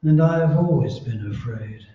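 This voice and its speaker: A man's voice: on the high side, expressive, moderate pace, close to an everyday voice. A man playing a meek, henpecked husband in an old-time radio horror play, hesitant and stammering. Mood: weary, fearful. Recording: muffled, very echoey, distant stage recording.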